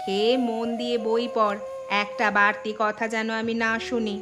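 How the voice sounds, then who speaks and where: A woman plays a poor village mother in a cartoon. Her voice is high, caring and gently firm as she mildly chides. Music runs clearly underneath. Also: flat delivery, moderate pace, natural voice. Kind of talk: cartoon story dialogue.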